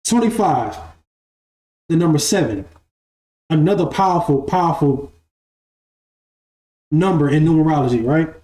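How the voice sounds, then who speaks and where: A man's voice: a little high, lively intonation, unhurried, pauses often, warm tone. Young man musing in an echoey room. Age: 20s. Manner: repeats phrases, asks "right?". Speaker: spiritual live-stream host. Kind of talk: casual spiritual monologue. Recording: echoey room with faint music.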